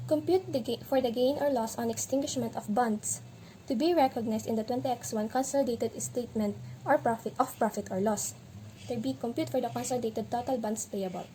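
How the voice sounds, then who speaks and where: A woman's voice: a little high, dark tone, low-quality recording. A woman with a Filipino accent explaining as an accounting instructor, step by step, repeating key points. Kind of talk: tutorial explanation mixing English and Tagalog. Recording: noticeable background noise, room ambience.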